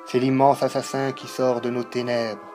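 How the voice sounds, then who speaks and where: A man giving a solemn, dramatic poetry recitation, declaiming in measured phrases with pauses. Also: medium pitch, level tone, a little mellow, faint music behind.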